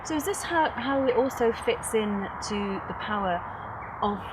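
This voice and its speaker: A woman's voice: fairly high pitch, animated, moderate pace. A woman hosting a casual interview, speaking in an agreeable, somewhat hesitant conversational way. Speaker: interviewer, event host. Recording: very noisy, distant mic, traffic-like ambience.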